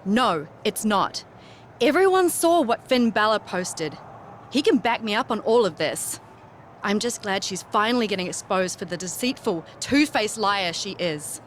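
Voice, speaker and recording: A woman's voice: mid-range voice, animated, unhurried, a little hiss or hum, natural voice. A woman playing an indignant, accusing wrestler in a game interview, emphatic and self-righteous. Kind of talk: video game interview scene.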